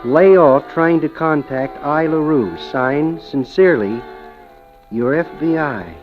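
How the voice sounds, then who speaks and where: An actor playing a hapless, lovesick amateur detective, wry and flustered, in 1940s radio style. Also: male voice, a little high, slow pace. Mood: wry narrating. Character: hapless, lovesick amateur detective narrating his own misadventures. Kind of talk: 1940s radio acting and narration. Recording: muffled old radio recording with music.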